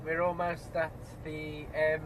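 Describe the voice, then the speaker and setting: Stuffy-nosed lorry driver, talks kinda high, moans at traffic, cab engine rumble behind. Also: male voice, monotone, slow. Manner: chatty, grumbling at other road users. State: stuffed-up nose from a cold. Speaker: truck driver. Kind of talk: casual driving vlog commentary. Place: truck cab on the road. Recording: road and engine noise in cab.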